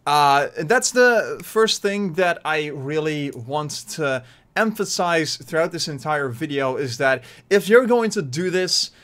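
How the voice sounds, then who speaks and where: A man's voice: on the high side, slow. A young Dutch man in his twenties with a Dutch accent, talking casually to his webcam from his bedroom, rambling with lots of fillers and restarts. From Netherlands. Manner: animated hand gestures while talking. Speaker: YouTuber. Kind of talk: casual vlog talk to webcam. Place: small bedroom, indoors. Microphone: desk mic in front of webcam.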